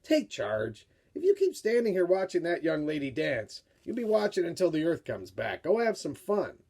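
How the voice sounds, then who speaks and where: A man's voice: fairly high pitch, huge pitch swings, moderate pace, creaky, a little mellow, breathy edge, pitched above the performer's own voice, full character voice. A man voicing a miner father in a storybook, firmly encouraging his shy son.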